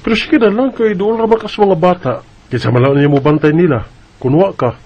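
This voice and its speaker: A man's voice: sits high, brisk, breathy edge, dull audio. Troubled, earnest family man. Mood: worried. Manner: earnest, hesitant. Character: troubled, hesitant husband and father, resigned, worried. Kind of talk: radio drama acting with first-person narration.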